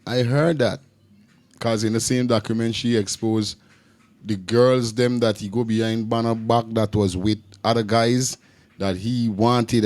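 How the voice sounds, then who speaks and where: A man's voice: medium pitch, darkish tone. A man with a Guyanese accent, hosting a reaction video and rambling loosely in casual commentary. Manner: loose, rambling, repeats himself with Creole grammar. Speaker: reaction channel host.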